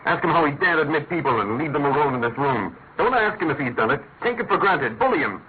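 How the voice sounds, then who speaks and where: An actor playing a shrewd, imperious master detective in a classic radio drama, incisive and urgent. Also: male voice, fairly high pitch, lively intonation, fast talker, close to an everyday voice. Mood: commanding. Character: brisk, commanding, shrewd male master detective. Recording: tinny, narrow-band old radio, faint noise.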